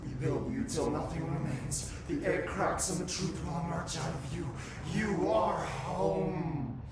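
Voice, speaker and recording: An eerie chanting otherworldly presence. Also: male voice, a little high, unhurried, noisy background. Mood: droning. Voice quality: eerie droning chant, sudden shrieks. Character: the Hiss, a chanting otherworldly resonance possessing people, menacing. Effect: layered reverb. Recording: heavily echoed, distant, over loud music.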